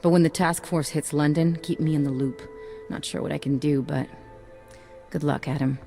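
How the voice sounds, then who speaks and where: A low-pitched female hacker from a video game. She is blunt, slangy and streetwise, with a concerned edge. Music is clear behind her. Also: fairly even intonation, moderate pace, mellow. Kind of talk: video game cutscene acting. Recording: music under the voice.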